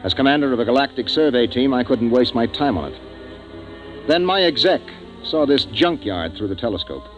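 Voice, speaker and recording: An actor playing a gruff, impatient middle-aged spaceship commander in a 1950s science fiction radio drama, with a commanding delivery. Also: male voice, mid-range voice, expressive, brisk, highs cut off, close to an everyday voice. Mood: wry narrating. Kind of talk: 1950s radio acting with first-person narration. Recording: vintage 1950s radio, music behind.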